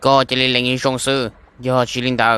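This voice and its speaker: A man's voice: medium pitch, completely flat delivery, fast talker, sharp tone, smooth, natural voice. A man playing a young man in love in a cartoon romance, speaking in a conversational way. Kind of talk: amateur animated cartoon dialogue.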